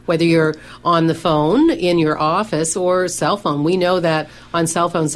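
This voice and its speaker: A middle-aged woman, a professional organizer and coach, talking on a radio show with a big voice that carries and lots of "you know" fillers. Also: low-pitched. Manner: says she has a big voice that carries; conversational, many fillers and restarts.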